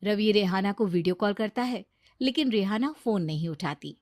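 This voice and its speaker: A woman's voice: mid-range voice, lively intonation, normal speed, a little mellow, dry recording, clean studio sound. A woman delivering scripted narration for a moral cartoon story.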